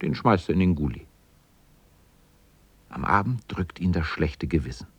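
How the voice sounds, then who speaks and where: A man with a husky voice narrating a scripted children's story as the narrator of a radio drama. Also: low-pitched, very animated, moderate pace, dry recording.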